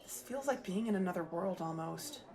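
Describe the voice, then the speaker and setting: A woman playing a friendly, observant character with a casual, gentle way of talking in an audio drama. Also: on the low side, expressive, normal speed. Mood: musing. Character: friendly, observant, gently curious woman attending event undercover.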